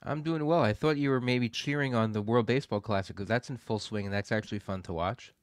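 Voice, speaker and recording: A man's voice: mid-range voice, fast, no room sound. A man chatting casually as a podcast co-host. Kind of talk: casual chat.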